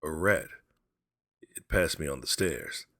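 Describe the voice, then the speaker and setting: A man's voice: a bass voice, animated, takes it slowly, creaky, fairly bright tone, dry recording, studio quality, full character voice. A man voicing a frightened, grieving old father in a story reading, faltering and aghast as he speaks. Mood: fearful.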